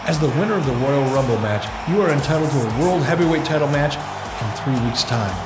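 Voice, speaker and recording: A man's voice: on the high side, animated, fairly quick, natural voice. A man voicing a formal, ceremonious wrestling official making an announcement in a video game. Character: formal male wrestling official presenting a winner. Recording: echoey, loud music.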